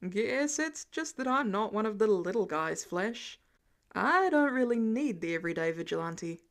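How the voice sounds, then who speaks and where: A woman's voice: mid-range voice, lively intonation, moderate pace, lightly acted. Casting: woman as smug, bored rich teen heir, confident and nonchalant, audiobook dialogue.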